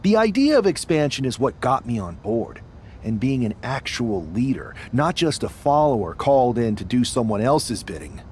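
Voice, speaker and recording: Man acting ambitious wrestler guy in game cutscene, determined, medium pitch, bit of rumble. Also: very animated, normal speed, darkish tone, lightly acted. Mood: resolute. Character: determined ambitious young male pro wrestler. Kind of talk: scripted game cutscene dialogue.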